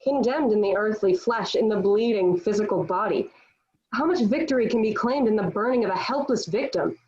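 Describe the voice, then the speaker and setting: A woman's voice: mid-range voice, a little fast, a little mellow, highs cut off, lightly acted. A woman playing a defiant, devout young warrior held prisoner, speaking earnestly and declaiming in a table read of a historical play.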